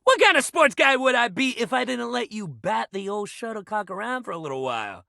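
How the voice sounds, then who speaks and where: Casting: laid-back young jock coach, a living shuttlecock in a video game. Very lively, swooping intonation, slangy and encouraging, dry close recording. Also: male voice, high-pitched, a little fast, gravelly, no echo, heavily acted. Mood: playful, hyped. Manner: slangy, bro-ish, encouraging, swearing casually. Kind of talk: video game character voice acting.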